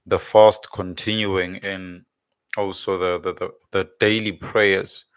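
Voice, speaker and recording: A man's voice: low-pitched, a bit bright, smooth, no echo. A man hosting a casual religious livestream, congested with a blocked nose and sore throat, rambling and repeating words as he searches for them. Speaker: livestream host.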